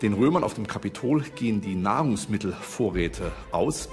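A man's voice: fairly low pitch, animated, moderate pace, darkish tone, faint music behind. A man explaining history as an expert in a documentary interview.

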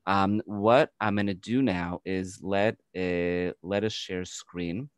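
A man in his forties with an American accent, a tour guide giving a virtual tour over a video call on a headset, talking quickly with frequent restarts. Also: sits low, clean tone, dry recording, studio quality. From United States. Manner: fast, energetic, repeats words when restarting. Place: home by an open window.

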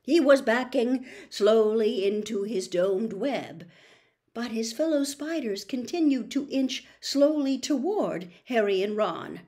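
Older woman, slow dramatic storyteller. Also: on the high side, animated, darkish tone. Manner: dramatic, deliberate. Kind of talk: expressive audiobook-style narration. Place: small bedroom, indoors.

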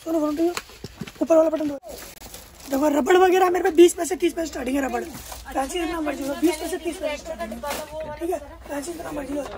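A young man working as a wholesale shopkeeper, rattling off prices in an emphatic sales pitch and repeating his terms, in a crowded indoor market shop. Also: fairly even intonation, quick pace, a crowd in the background.

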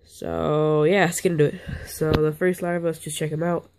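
A young man with a hoarse voice from being a little sick, casually rambling through a hands-on vlog from his floor, often stopping to correct himself. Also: fairly high pitch, level tone, normal speed, noisy background. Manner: rambling, self-interrupting, offhand. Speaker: bug-keeping vlogger. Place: indoors, on a carpeted floor.

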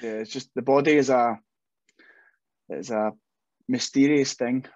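A man's voice: medium pitch, steady tone, measured pace, darkish tone, dry recording. A young man speaks from a room at home over a video call, talking loosely with lots of restarts and shrugging asides. The audio is dry and somewhat muffled, with a mid-range voice. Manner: rambling, self-deprecating, many restarts. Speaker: metal band vocalist. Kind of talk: casual interview chat.

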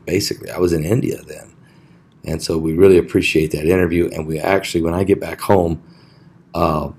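A man with a very deep, husky, raspy voice hosts a live online show. He talks through the news casually and keeps his voice down. He fills his pauses with ums and uhs. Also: a little mellow. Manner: keeps voice down, hesitant fillers.

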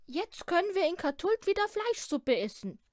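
Outspoken storybook maid, voiced by a female narrator, young, high and animated. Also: moderate pace, dry recording, studio quality. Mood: pleased.

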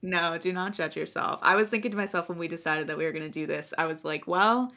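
An American woman in her twenties with a notably low voice for a woman. She co-hosts in loose, rambling conversation, often breaking into laughter. Her pitch sits on the low side. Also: fast talker, bright, forceful tone. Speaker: podcast co-host. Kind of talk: casual chat.

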